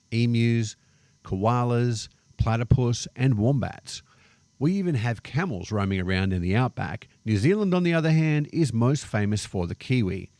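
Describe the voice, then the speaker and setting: Aussie guy doing an explainer vlog, lowish voice, casual narration, really dry sound. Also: a little mellow, no room sound. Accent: Australian. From Australia. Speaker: vlog host.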